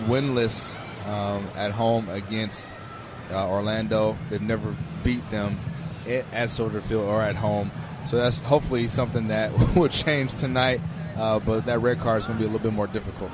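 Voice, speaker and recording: A man's voice: on the low side, steady tone, moderate pace. A man giving live analysis as the color commentator on a soccer broadcast at an open-air stadium. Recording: noisy outdoor stadium ambience. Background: loud stadium ambience.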